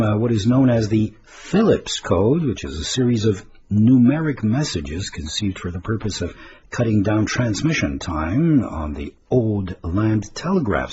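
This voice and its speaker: A man's voice: fairly low pitch, a little mellow. A man presenting radio listener mail in a chatty, hesitant, meandering way, adding asides as he reads. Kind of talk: informal listener-mail segment, reading and commenting. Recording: dull lo-fi radio recording, faint noise.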